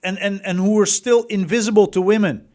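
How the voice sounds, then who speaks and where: High-pitched man with a Dutch accent. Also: fairly even intonation, a little fast, mellow. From Netherlands. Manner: emphatic, repeats words, rhetorical questions. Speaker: dating coach. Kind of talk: motivational coaching talk.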